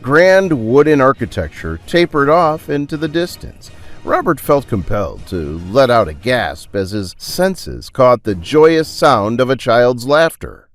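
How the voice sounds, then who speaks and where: A man narrating a dramatic audio story in a theatrical, deliberate and suspenseful way. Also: mid-range voice, big pitch swings. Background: music.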